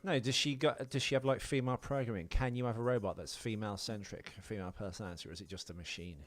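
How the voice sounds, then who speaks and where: A man's voice: on the low side, steady tone, fast. A middle-aged British man co-hosting a podcast, chatting casually about films in an opinionated, jokey, sweary way. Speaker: podcast co-host. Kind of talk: casual film chat.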